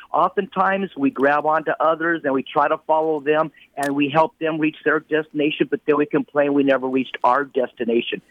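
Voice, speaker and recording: A man near sixty delivers a motivational pep talk on the radio, hammering his points home with repetition and a preacher's cadence. His voice sits a little high for a man. The sound is thin and phone-like, with no room echo. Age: 50s. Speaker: radio show guest. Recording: tinny phone line radio audio.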